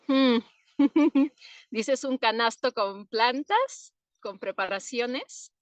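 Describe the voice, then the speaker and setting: A woman from Peru, an herbalist answering interview questions in an unhurried, thoughtful way with soft laughs. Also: fairly high pitch, dry recording.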